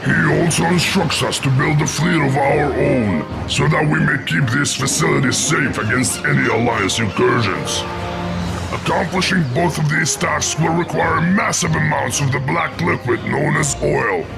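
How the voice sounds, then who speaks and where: Gravelly commanding orc warlord. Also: male voice, on the high side, measured pace. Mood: resolute briefing. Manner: declamatory, formal. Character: commanding orc war leader, proud and fierce. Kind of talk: fantasy game cutscene acting. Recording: loud music under the voice.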